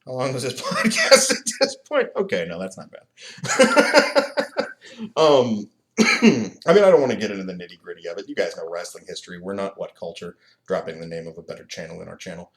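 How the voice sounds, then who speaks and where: A man co-hosting a podcast in casual chat, animated and profane, laughing often. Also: sits low, fairly quick. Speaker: podcast co-host.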